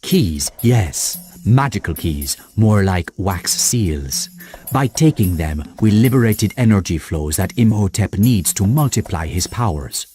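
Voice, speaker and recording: An actor playing a wise old warrior mentor in a cartoon game, speaking in a solemn, formal, measured way. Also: male voice, on the low side, animated, dark tone. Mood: grave, resolute. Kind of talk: animated cartoon game cutscene acting.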